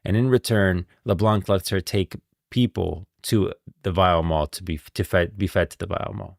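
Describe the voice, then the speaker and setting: A male streamer with a very low, creaky voice talks casually about game lore. He muses and thinks aloud as he goes. The recording is close and dry. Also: steady tone, normal speed, no echo. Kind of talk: casual live stream commentary.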